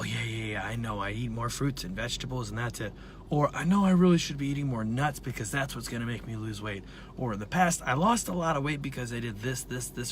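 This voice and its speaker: A man who is a dietitian and nutrition professor, talking casually and earnestly to his viewers while his voice is raspy from laryngitis. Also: medium pitch, fairly bright tone, slight background noise. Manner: earnest, conversational, with frequent fillers. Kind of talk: casual talk to camera.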